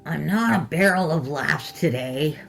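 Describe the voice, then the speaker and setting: A woman voicing a clownish male cartoon TV host in a horror story, coaxing with drawn-out exclamations and an eerie cheer. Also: low voice, animated, vocal fry, soft background music, full character voice. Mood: glum.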